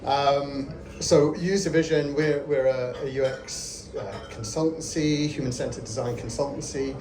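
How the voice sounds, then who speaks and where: A man's voice: medium pitch, steady tone, moderate pace. A man in his forties giving a friendly, self-deprecating lightning talk at a meetup, chatting casually with lots of fillers. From Scotland. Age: 40s. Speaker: conference speaker. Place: event venue with audience. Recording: echoey room with ambient noise.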